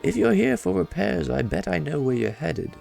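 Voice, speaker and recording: A man voicing a cheerful long-nosed male villager from a puzzle game, in a squeaky, chirpy voice. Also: sing-song, moderate pace, gravelly, darkish tone, soft background music, exaggerated voice. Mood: cheery.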